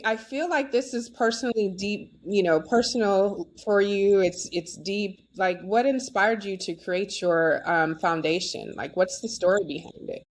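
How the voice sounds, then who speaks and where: A woman hosting a podcast, listening warmly and affirming her guest often. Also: medium pitch. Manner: affirming, enthusiastic listener, many yeses. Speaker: podcast host. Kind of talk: casual interview conversation.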